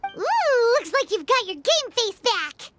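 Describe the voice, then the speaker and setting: A woman voicing a tiny, excitable female fairy companion with a childlike, earnest, exclamatory manner in a video game. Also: very high voice, takes it slowly, heavily acted. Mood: excited. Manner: refers to self in third person, exclamatory. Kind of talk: animated video game voice acting. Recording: music behind the voice.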